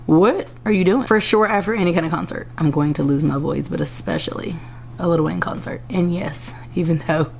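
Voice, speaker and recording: A young woman vlogging from home with a hoarse voice. She chats casually and rambles, often correcting herself, while she finishes her makeup. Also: lowish voice, fairly quick, a little hiss or hum. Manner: rambling, self-correcting, chatty. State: hoarse after a concert. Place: indoors at home.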